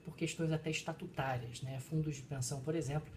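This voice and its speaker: A man's voice: fairly high pitch, steady tone, quick pace, a little hiss or hum. A man, a professor co-hosting a casual chat, who explains economics in a lecturing way. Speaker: co-host, professor.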